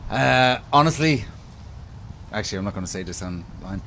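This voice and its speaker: A man's voice: on the low side, lively intonation, moderate pace, creaky, sharp tone, slight background noise. A man from Dublin with an Irish accent, the bar owner hosting the show, chatting casually and joking around. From Ireland, Dublin. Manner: joking, playful banter. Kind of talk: casual chat.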